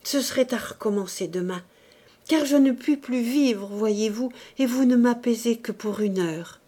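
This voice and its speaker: A woman's voice: a little high, a little slow, mellow. A woman voicing a distraught, guilt-ridden married woman who pleads and confesses feverishly, in dramatic audiobook style. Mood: frantic refusal. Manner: pleading, exalted, breaking into whispers. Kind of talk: dramatic audiobook character acting.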